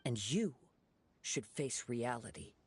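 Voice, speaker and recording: An actor playing a calm, perceptive young wandering swordsman in a video game, speaking in measured, formal sentences. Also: mid-range voice, breathy edge, heavily acted. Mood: grave, reproachful. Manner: composed, measured, formal, reasoning. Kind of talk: video game dialogue acting.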